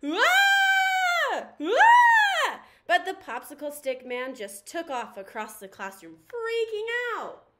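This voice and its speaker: A young woman reading a picture book aloud to children in an expressive, animated storytelling manner. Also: sits high, unhurried, few pauses. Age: young adult.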